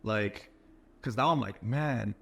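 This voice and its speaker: A man in his thirties co-hosting a podcast, chatting in a quick, reflective conversational way. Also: on the low side, gravelly, a bit bright. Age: 30s. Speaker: podcast co-host. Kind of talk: casual interview chat.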